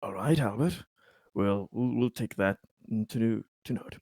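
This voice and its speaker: A man playing a tabletop role-playing game, improvising in-character dialogue in a friendly, halting way. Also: lowish voice, a little mellow, no echo, clean studio sound.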